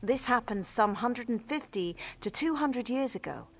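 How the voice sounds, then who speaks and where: An actress playing an exasperated, wry mage scholar in a video game, explaining things articulately. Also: female voice, fairly high pitch, expressive, moderate pace. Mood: informative, wistful. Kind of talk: conversational video game dialogue.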